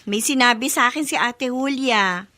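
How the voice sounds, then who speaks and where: A woman's voice: a little high, normal speed, sharp tone. A woman playing a worried, dutiful grown daughter in a radio drama, earnest and pleading in manner. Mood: concerned. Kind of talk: Tagalog radio drama acting.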